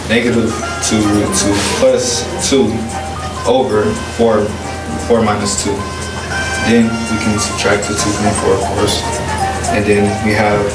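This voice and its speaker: A man's voice: on the low side, level tone, a little slow. Echoey recording of teenage boy, music behind. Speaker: high school student. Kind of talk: explaining math steps in a presentation. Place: classroom. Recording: echoey classroom, loud music, distant mic.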